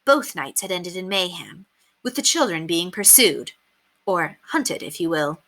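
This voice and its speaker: A woman reading a children's book aloud as an expressive, emphatic storyteller. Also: on the low side, unhurried. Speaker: read-aloud reader.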